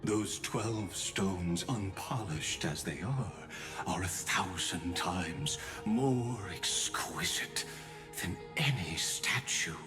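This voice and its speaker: An actor playing an ancient, weary mechanical sculptor in a video game, speaking in a measured, theatrical, philosophical way with cool menace. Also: male voice, low voice, lively intonation. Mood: bitter, resigned. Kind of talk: scripted video game drama acting. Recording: music under the voice.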